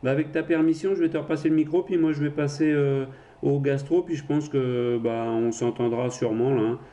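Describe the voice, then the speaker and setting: A man's voice: mid-range voice, steady tone, brisk, warm tone, highs cut off. A man chatting casually as an amateur radio operator, handing over the microphone in turns. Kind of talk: casual radio contact chat.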